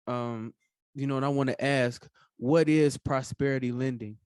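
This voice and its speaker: A man's voice: mid-range voice, fairly even intonation, normal speed, a little mellow, clear, resonant tone, no echo, clean studio sound. A man hosting a podcast interview, talking casually with many fillers and encouraging remarks. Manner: casual, filler-heavy, affirming. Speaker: podcast host.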